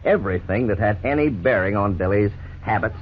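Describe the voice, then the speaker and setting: A middle-aged man playing a lawyer who narrates and explains the case in a measured, formal way, in 1950s radio drama style. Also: fairly low pitch, lively intonation, lightly acted. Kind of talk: scripted narration. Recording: scratchy 1950s radio broadcast, tinny, faint hiss.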